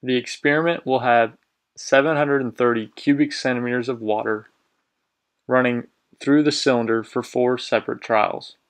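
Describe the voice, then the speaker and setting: A young man from Kentucky, an engineering student reading a class presentation quietly and levelly. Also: mid-range voice, steady tone, normal speed. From Lexington, Kentucky. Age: young adult.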